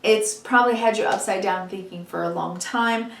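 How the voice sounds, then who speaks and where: Hoarse, chatty woman reading tarot. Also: mid-range voice, moderate pace, fairly bright tone. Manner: chatty and encouraging, many "you know"s. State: losing her voice, slightly hoarse. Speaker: tarot reader. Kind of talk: weekly tarot reading to camera. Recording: echoey room, mic at a distance.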